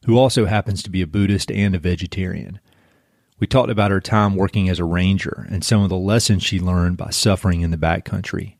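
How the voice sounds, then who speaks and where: Middle-aged guy hosting a podcast, easygoing talk, low voice, dry close mic. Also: darkish tone, no room sound. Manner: easygoing, conversational. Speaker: podcast host. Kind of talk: scripted intro, then interview chat.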